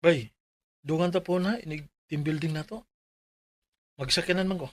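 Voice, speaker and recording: Man as friendly Cebuano office pal in radio drama, slightly high voice, colloquial, advising. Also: fairly even intonation, normal speed, soft background music, close to an everyday voice. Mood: friendly, urging. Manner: chummy, colloquial. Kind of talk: Filipino radio drama acting.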